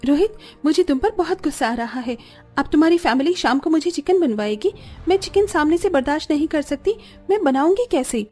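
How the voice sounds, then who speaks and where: A woman acts a young Indian-family cartoon bride, dutiful yet indignant. The voice is high and soft-toned, with an expressive, plaintive delivery over music. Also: fairly quick. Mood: angry.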